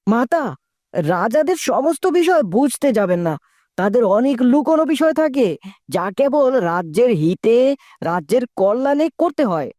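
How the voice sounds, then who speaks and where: A woman's voice: fairly high pitch, very animated, moderate pace, warm tone, dry recording, studio quality. A woman playing a devoted, self-assured young prince in a fairy-tale cartoon, with formal, earnest courtly speech.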